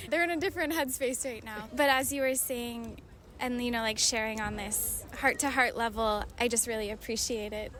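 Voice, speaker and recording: A woman's voice: on the high side, fairly even intonation, moderate pace, hardly any pauses, fairly bright tone. A woman podcast host interviewing outdoors, speaking in an appreciative, gushing way. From Hawaii. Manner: gushing, appreciative, laughs often. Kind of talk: warm interview chat. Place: outdoors by a city river.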